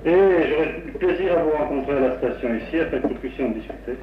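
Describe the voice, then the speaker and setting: A man, a radio amateur, chatting on air about his equipment with many pauses and hesitations. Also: fairly high pitch. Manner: rambling, technical, many hesitations. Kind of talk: casual on-air radio chat. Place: home radio station. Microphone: crystal broadcast-style microphone about a metre away. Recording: roomy, distant, dull 1960s AM radio recording.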